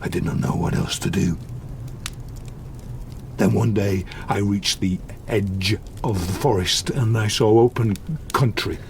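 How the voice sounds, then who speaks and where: Slow, deep, gravelly brooding monster. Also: male voice, slight background noise. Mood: haunted, sorrowful. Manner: slow, deliberate, solemn storytelling. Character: wounded, brooding male monster, eloquent and lonely. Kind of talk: audio drama monologue.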